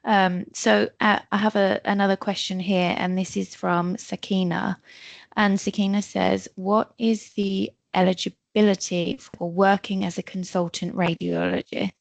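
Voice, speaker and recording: A woman's voice: mid-range voice, little pitch movement, unhurried, gravelly, no echo. A woman hosting a live online question session, politely reading out questions and guiding participants with some hesitation. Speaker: moderator of online Q&A.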